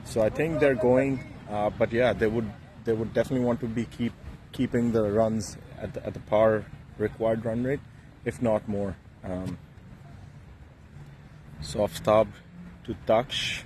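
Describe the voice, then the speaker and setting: A man from Bangladesh with a Bangladeshi English accent, giving relaxed, halting cricket commentary full of fillers outdoors by the field. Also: fairly low pitch, a little hiss or hum. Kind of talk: casual live cricket commentary.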